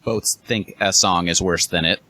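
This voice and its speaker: A man's voice: low voice, steady tone, normal speed, sharp tone, no room sound. A man on a podcast panel in casual chat, wry and joking, breaking down songs in detail. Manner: wry, joking, analytical about music.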